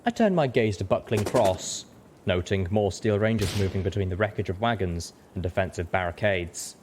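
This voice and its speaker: A man's voice: normal speed, darkish tone. A man reading a story aloud as a dramatic audiobook narrator. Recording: rumbling background noise.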